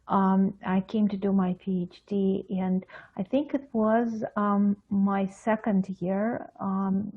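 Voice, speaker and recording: A woman's voice: medium pitch, husky. A middle-aged woman from Russia with a Russian accent, a psychologist answering interview questions hesitantly, with many fillers, restarts and non-native grammar. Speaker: pediatric psychologist guest. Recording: phone-like lo-fi call audio.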